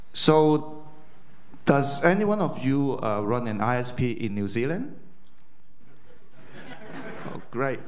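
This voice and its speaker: A man from Hong Kong opening his conference talk, friendly and asking the audience questions. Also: mid-range voice. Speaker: conference speaker. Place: conference hall.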